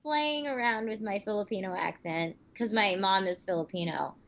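Casual actress guest, laughs easily, mid-pitched woman; muffled remote-call audio. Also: normal speed, fairly bright tone. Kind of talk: casual podcast interview.

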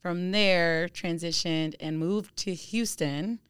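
A young American woman with a fairly low, smooth and resonant voice. She talks casually and conversationally, like a guest telling her story on a podcast, in a dry, close sound. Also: slow pace, dry recording. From Phoenix, Arizona, United States. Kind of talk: casual chat, conversational interview.

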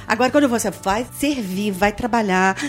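A woman's voice: medium pitch, moderate pace, soft background music. A Brazilian woman explaining things conversationally and emphatically as a podcast guest. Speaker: podcast guest, children's ministry worker. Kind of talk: casual explanatory chat.

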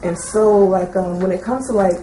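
Woman asking something from the audience, kinda halting, soft-edged voice, echoey room w a bit of noise. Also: mid-range voice, steady tone. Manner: hesitant, halting, with frequent "like". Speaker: audience member asking a question. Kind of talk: spontaneous question and comment at a teaching session. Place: indoor teaching session, speaking from the audience. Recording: roomy echo, faint background noise.

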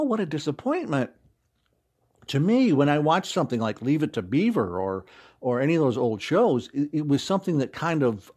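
Expressive man chatting through interview answers. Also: medium pitch. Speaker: interview guest, audio drama writer and actor. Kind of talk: casual recorded interview answers.

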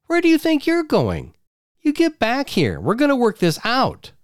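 A man voicing a shrill, furious young wife and mother who screeches accusations at her husband. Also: wildly swooping pitch, measured pace, a little mellow, no room sound, clean studio sound, heavily acted.

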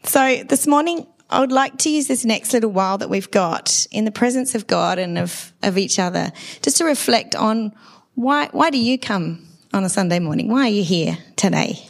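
A middle-aged woman with a dry, hoarse voice after laryngitis, giving a conversational sermon at a church service and asking the congregation questions. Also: medium pitch, expressive, moderate pace. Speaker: preacher.

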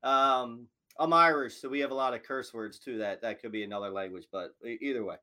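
A man's voice: medium pitch, brisk. A man hosting a podcast interview, chatting casually and asking questions with plenty of fillers. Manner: relaxed, filler-heavy questioning. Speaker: podcast host.

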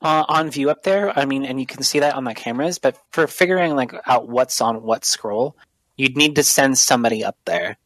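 A man's voice: medium pitch, a little fast, fairly bright tone, no echo. Guy running a tabletop game, kinda rambly, dry close sound. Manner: loose, rambling, full of fillers. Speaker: game master.

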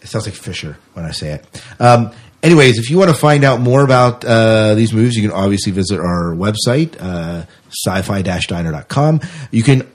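A man's voice: fairly low pitch, expressive, normal speed. A man hosting a podcast in a casual, conversational interview style. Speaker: podcast host.

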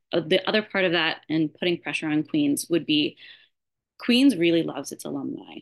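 A young woman giving a candidate's answer in a debate, pausing often mid-sentence as she works out her points. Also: low voice, creaky, fairly bright tone. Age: young adult. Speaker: student election candidate. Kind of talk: debate answer, semi-prepared speaking.

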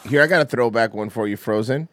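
A man co-hosting a crude, casual podcast chat, loud and profane, with a lot of laughing. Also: medium pitch, fast talker, a little hiss or hum. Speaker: podcast co-host.

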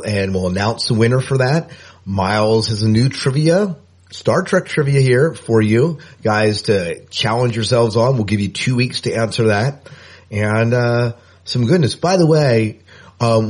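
A man's voice: fairly low pitch, darkish tone, breathy edge. A man co-hosting a podcast, chatting in an upbeat, rambling way with asides. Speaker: podcast co-host. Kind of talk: casual podcast chat.

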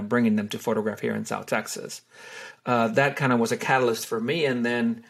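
Gravelly middle-aged man from Honduras. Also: medium pitch. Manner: hesitant, many fillers and restarts. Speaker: wildlife photographer and tour guide. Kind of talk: relaxed interview answers.

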